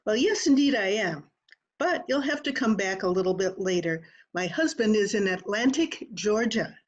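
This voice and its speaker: A woman's voice: medium pitch, lively intonation, moderate pace, natural voice. An actress playing a married woman brushing off callers at her door, in old-time radio comedy style, with a dull, lo-fi sound. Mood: dismissive, coy. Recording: dull, lo-fi remote recording.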